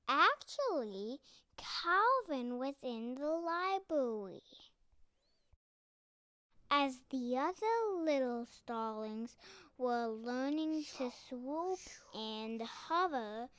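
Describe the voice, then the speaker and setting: A child reading a picture book aloud carefully, phrase by phrase, with pauses. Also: medium pitch, a bit bright, clean tone, dry recording. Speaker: storybook reader.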